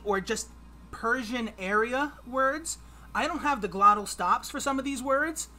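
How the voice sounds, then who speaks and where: Man, 30s, trivia quiz host, livestream from home, upbeat and chatty, bright tone. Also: very high voice, a little hiss or hum. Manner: chatty and upbeat, reads clues aloud. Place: home streaming room.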